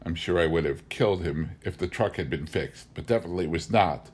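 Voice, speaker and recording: A man with a fairly low voice reads a humorous memoir aloud. His delivery is scripted and measured, with a wry storyteller's turn to the phrasing. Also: a little mellow, breathy edge. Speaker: audiobook reader.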